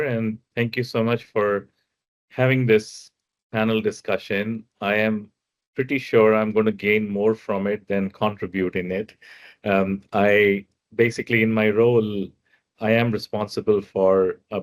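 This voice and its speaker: Lower-voiced man, friendly panel intro. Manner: friendly and conversational, modest. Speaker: AI business lead.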